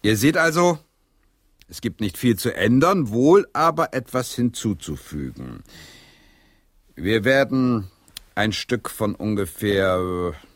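A man's voice: lowish voice, lively intonation, takes it slowly, dry recording, studio quality. An actor in a radio play as a driven, sly troupe leader, commanding and theatrical as he explains. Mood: eager, expounding.

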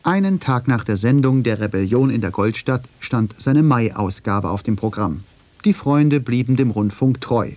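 A man reading scripted narration for a radio feature in a measured, factual, deliberate way. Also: mid-range voice, animated, a little mellow, dry recording.

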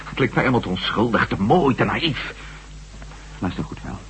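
A lively, wide-ranging voice for a persistent, doubting former astronaut turned reporter, played by a man. He argues and sometimes stumbles over his words, in radio drama style. The recording has faint hum and noise. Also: medium pitch, normal speed, natural voice, low-quality recording. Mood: skeptical, insistent. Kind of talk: Dutch radio drama acting.

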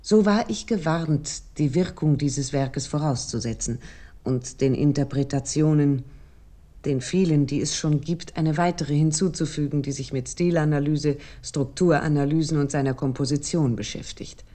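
A woman playing an essayist, reading a literary essay for a radio play in a measured, formal, deliberate manner. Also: low-pitched, mellow, natural voice. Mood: measured, serious. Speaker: narrator.

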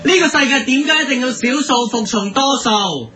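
A whiny, obsessive young oddball played by a man pleads his case in a high voice. He has a Hong Kong Cantonese accent and a stubborn, insistent manner, in a comedy radio drama with music underneath. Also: animated, brisk, bright, forceful tone, full character voice. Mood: indignant, whiny. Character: obsessive eccentric man in love with gaps, defensive, stubborn.

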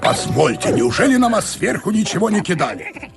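An actor playing a genteel ship captain in a radio comedy, courteous and theatrical in manner. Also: male voice, high voice, big pitch swings, moderate pace, vocal fry, full character voice. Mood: surprised, firm. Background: music behind the voice.

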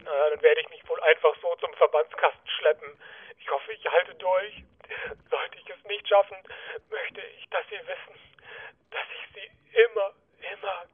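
Guy doing a meek fussy office clerk, high plaintive voice, comedy radio play, tinny phone line. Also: little pitch movement, normal speed, breathy edge. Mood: melodramatic, weak. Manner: apologetic, over-polite, plaintive. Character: fussy, meek, lovelorn male office worker. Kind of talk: comedy audio drama acting.

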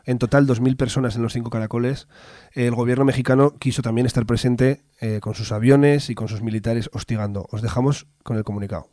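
A man's voice: medium pitch, fairly even intonation, fast talker, dark tone, no room sound, studio quality. A man with an accent from Spain, hosting an informal radio commentary in a hesitant, explanatory way. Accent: Spanish, from Spain. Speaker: radio host. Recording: music behind the voice. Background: music.

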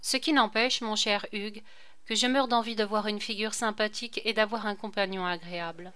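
Woman, audiobook reader, bright sharp tone, smooth resonant voice, expressive letter reading. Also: medium pitch, fairly quick.

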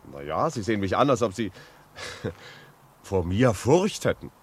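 An actor playing a polite, inquisitive journalist in a 1950s radio drama, asking courteous, probing questions. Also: male voice, lowish voice, big pitch swings, a little slow, breathy edge, exaggerated voice. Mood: puzzled, insistent. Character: polite, inquisitive male journalist, affable visitor. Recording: noisy old 1950s radio recording.

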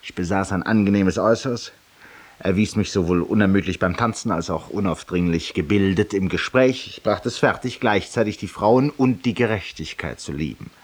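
Middle-aged male barroom raconteur: low husky voice, urbane, ironic, confessional, theatrical radio monologue. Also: moderate pace. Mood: smug, urbane. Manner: eloquent, urbane, ironic, addressing a silent listener.

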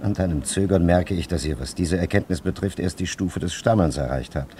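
An actor playing a solemn traveller from an ancient civilization in a German radio play, speaking in a stately, archaic, formal way with a gravelly, husky voice. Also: male voice, deep voice, brisk, mellow, slight background noise, lightly acted. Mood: superior, explaining.

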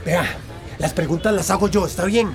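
An actor playing a curt, domineering male people smuggler in a radio drama, barking orders in a gravelly voice. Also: sits high, moderate pace, a crowd in the background. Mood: menacing.

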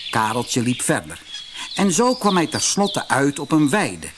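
Slow, expressive male storyteller. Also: mid-range voice, noisy background. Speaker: audiobook narrator. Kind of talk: scripted fairy tale narration for children.

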